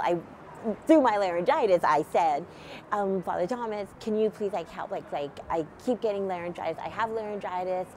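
Middle-aged woman talking outdoors, lively and gesturing, little cold in her nose, faint street sounds behind. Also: mid-range voice, a little hiss or hum. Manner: animated, many fillers, gesturing as she talks. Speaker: nonprofit founder. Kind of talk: casual testimony to camera. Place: outdoors at a church event, near a street.

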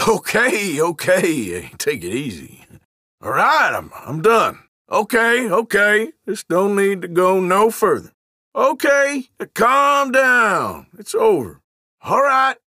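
A man's voice: sits high, pushed and bright, no echo, clean studio sound, heavily acted. A man playing a suspicious, defiant outlaw in a Western video game, with an American Western accent and a folksy, evasive, surly drawl. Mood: placating. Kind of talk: video game character voice lines.

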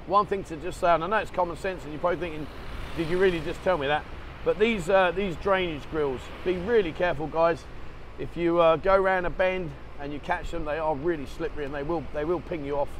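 A man's voice: a little high, fairly quick. A man chatting casually, rambling and swearing now and then as he rides a motorbike through town with a handheld camera. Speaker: vlogger. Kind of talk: casual running commentary. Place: outdoors on a motorbike in town traffic, rain. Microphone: action camera held in hand. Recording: street and traffic noise behind.

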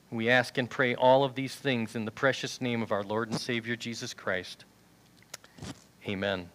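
Older male pastor, bright forward voice, headset mic in a church, earnest sermon delivery. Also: mid-range voice. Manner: earnest preaching, reads scripture aloud from a tablet. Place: large church sanctuary with choir risers.

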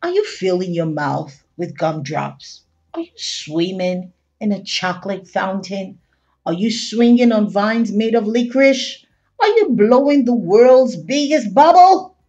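A woman's voice: medium pitch, very animated, a little slow, mellow, lo-fi sound. A woman voicing a curious, suspicious young child from a picture book, in a dramatic, wondering manner. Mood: alarmed, incredulous. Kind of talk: picture-book character read aloud.

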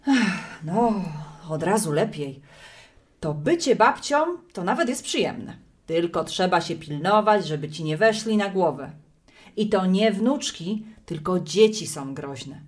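A woman's voice: fairly low pitch, animated, measured pace. An actress playing a brash, outspoken middle-aged grandmother in an audio play, blunt and nagging. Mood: blunt, opinionated.

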